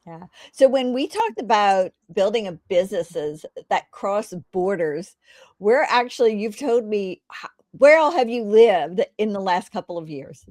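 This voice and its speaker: A woman's voice: medium pitch, lively intonation, unhurried, a little mellow, no echo, studio quality. A woman hosting an interview, introducing her guest and asking questions in an enthusiastic, chatty way. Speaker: host.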